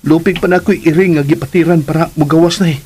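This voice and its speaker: An actor playing a lovesick, teasing young son in a radio drama, earnest and playful, with a Filipino accent. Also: male voice, a little high, fairly even intonation, fast talker, a little mellow, husky. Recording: music under the voice.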